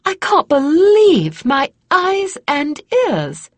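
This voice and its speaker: A woman voicing an expressive, regal queen and mother in a fantasy audiobook, speaking emphatically. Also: high-pitched, extremely slow, no echo, pitched above the performer's own voice, exaggerated voice. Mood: amazed.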